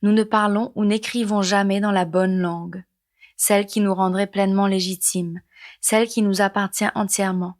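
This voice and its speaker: A woman from Mauritius, hosting a podcast in measured, reflective scripted narration. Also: mid-range voice, level tone, darkish tone. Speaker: podcast host.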